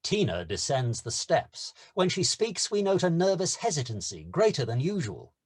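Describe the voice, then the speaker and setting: A man's voice: on the high side, wildly swooping pitch, moderate pace, slightly rough. A man narrating stage directions in a scripted screenplay table read.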